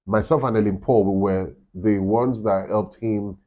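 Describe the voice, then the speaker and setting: A Nigerian man with a deep voice, a campus radio director chatting in an interview and telling stories with many "you know"s. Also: fairly even intonation, unhurried. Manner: rambling storytelling, frequent "you know". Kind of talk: casual interview chat. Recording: dull lo-fi sound.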